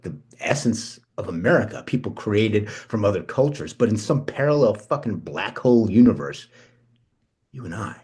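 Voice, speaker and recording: A man plays an impulsive, foul-mouthed American cousin in a drama script read. The voice is notably husky and a bit low, swinging widely in pitch. He talks casually and with animation. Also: darkish tone. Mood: musing, excited. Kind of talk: screenplay table read.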